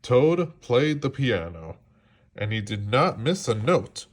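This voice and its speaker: A man reading a children's story aloud in an expressive storytelling style. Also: mid-range voice, slow. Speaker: storybook reader.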